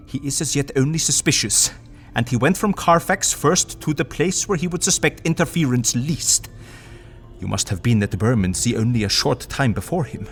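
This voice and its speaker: Man playing a gravelly, earnest old professor. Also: medium pitch, natural voice. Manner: formal, archaic phrasing, grave and emphatic. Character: earnest, commanding old foreign professor, a vampire hunter. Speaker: voice actor. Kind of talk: audio drama acting.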